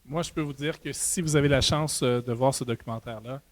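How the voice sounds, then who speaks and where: A man hosting an interview who asks long, measured questions. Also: mid-range voice. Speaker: interviewer, host.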